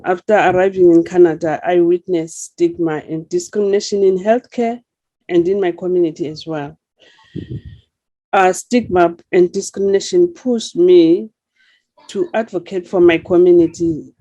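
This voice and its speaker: Dull-sounding woman, mellow voice. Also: on the low side, no echo. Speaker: community advocate presenting. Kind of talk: conference presentation.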